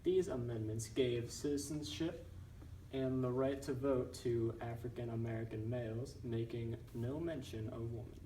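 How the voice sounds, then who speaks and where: A young man reading a scripted school newscast report in a halting, recited manner. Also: medium pitch. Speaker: student news presenter in a class project. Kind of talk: scripted narration read as a newscast. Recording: roomy, distant mic with background noise.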